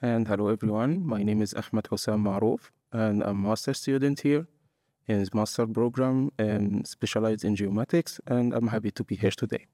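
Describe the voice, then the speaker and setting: A man's voice: fairly low pitch, moderate pace, warm tone, no room sound, studio quality. A young man from Egypt, a master's student guest earnestly explaining his choices step by step in a podcast interview. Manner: earnest, explains step by step in non-native English.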